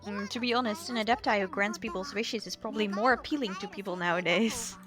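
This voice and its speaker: A woman's voice: medium pitch, fast, music in the background, natural voice. A woman voicing a small, chatty, eager fairy companion from a video game, who talks about herself by name. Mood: curious. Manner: chirpy, refers to herself by name. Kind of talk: video game dialogue read aloud.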